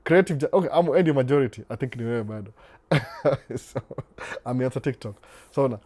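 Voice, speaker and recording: A man hosting a casual live stream. He answers viewer questions in a relaxed, unhurried way and moves freely between Swahili and English. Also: mid-range voice. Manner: reads viewer comments aloud and responds to them.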